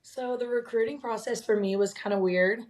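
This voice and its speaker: Young woman, raspy voice recovering from loss, slightly high pitch, casual upbeat guest, frequent laughs. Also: steady tone, a little fast, darkish tone. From Colorado. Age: young adult. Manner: upbeat, laughs often, says "like" a lot. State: recovering from losing her voice. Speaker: college soccer player guest. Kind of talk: casual interview chat.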